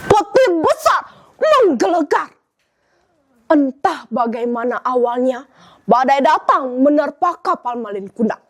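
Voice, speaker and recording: A teenage schoolgirl narrating a folk tale for a storytelling contest, dramatic and measured. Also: high voice, very animated. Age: teens. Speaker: student storyteller.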